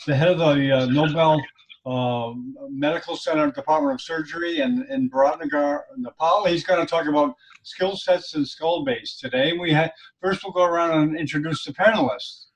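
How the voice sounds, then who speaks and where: A man's voice: fairly high pitch, expressive, normal speed, vocal fry, rough recording. A man hosting and moderating a medical webinar over a video call, politely prompting the panelists to introduce themselves. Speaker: host.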